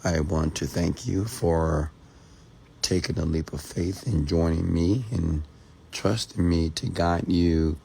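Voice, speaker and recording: A man from Texas, hoarse after a seminar, hosting a late-night livestream, greeting viewers by name and giving motivational talk. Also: extremely low pitch, darkish tone, slight background noise. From Texas, USA. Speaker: entrepreneur hosting a live stream.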